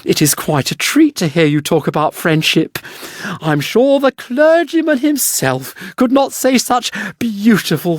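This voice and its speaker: A gushing storybook wife, voiced by a man, full of admiration for every word. The pitch is raised well up and lilts freely. It is recorded dry. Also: dry recording. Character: miller's admiring, flattering wife, a comfortable woman.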